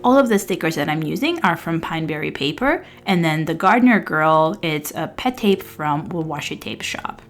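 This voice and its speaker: A woman's voice: low voice, animated, normal speed, few pauses, soft background music. A woman whose first language is Albanian, talking casually through book reviews with some fillers and restarts, partly reading out summaries. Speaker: book vlogger.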